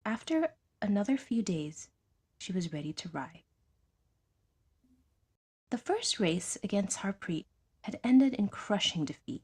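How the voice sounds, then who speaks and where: A woman's voice: medium pitch, sing-song, unhurried, long pauses, darkish tone, husky. A woman reading a science fiction story as a scripted audiobook narration.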